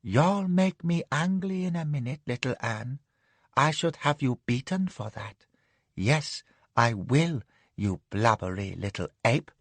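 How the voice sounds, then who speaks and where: A man voicing a drowsy, capricious young imperial concubine who purrs her words slowly. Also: mid-range voice, lively intonation, no room sound. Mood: purring teasing.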